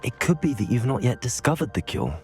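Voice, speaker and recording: An actor playing a polite, curious young student wizard in a video game, courteous and measured. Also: male voice, mid-range voice, sing-song, faint music behind, close to an everyday voice. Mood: respectful, insistent. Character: polite, curious teenage new student wizard, quietly hopeful.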